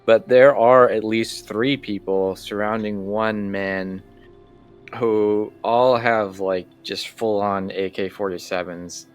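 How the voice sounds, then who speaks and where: Slow, low-voiced man narrating a game. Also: steady tone, soft background music. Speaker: game master. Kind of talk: improvised scene narration for a tabletop role-playing game. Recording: clear background room noise.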